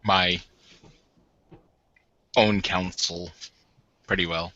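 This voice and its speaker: A reserved, awkward husband, acted by a man in a tabletop game. He speaks haltingly and guardedly in a bright, clear-cut tone. The recording is dull and close, with no room. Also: sits low, fairly even intonation, no echo, voice pushed down, exaggerated voice. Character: reserved, awkward gym-owning bridegroom. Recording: dull muffled lo-fi audio.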